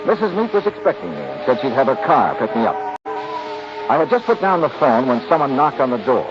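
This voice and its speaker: An American actor playing a wry, unflappable insurance investigator in a 1950s radio detective drama, clipped and casual. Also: male voice, medium pitch, big pitch swings, fairly quick, music in the background, close to an everyday voice. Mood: crisp narration. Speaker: lead actor and narrator. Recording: muffled, noisy 1950s radio recording.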